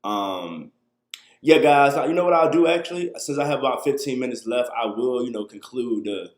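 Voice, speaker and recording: A man's voice: medium pitch, animated, moderate pace, echoey room. A man in his thirties hosting a casual livestream, talking loosely and answering viewer questions from a small room on a webcam. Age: 30s. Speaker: livestream host. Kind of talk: casual live talk to viewers.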